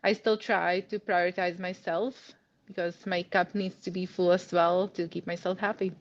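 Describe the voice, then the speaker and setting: A woman's voice: on the low side, little pitch movement, normal speed. Czech-accented woman, chatty, lo-fi sound. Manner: chatty, laughs often, many "like" and "you know" fillers. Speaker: guest. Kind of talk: casual interview.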